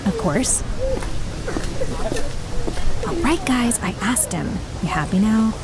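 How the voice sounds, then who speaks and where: A woman's voice: medium pitch, animated, slow, noisy background. A young woman playing a falsely friendly college student in an audio drama. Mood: casual, teasing. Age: young adult. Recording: busy crowd behind.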